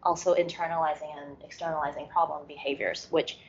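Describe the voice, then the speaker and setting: A woman from Hong Kong giving an academic lecture over slides, speaking in a measured way with frequent pauses and fillers. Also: sits low, level tone, a little hiss or hum. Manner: measured, many fillers and restarts. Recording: muffled lo-fi audio.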